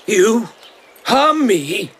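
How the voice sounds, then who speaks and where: A proud, irascible nobleman with a high-pitched voice. He is haughty and commanding yet easily unnerved, in radio play style with faint ambience. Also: big pitch swings, extremely slow, a bit bright, a little hiss or hum, full character voice. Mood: haughty, irritated. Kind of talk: radio drama acting.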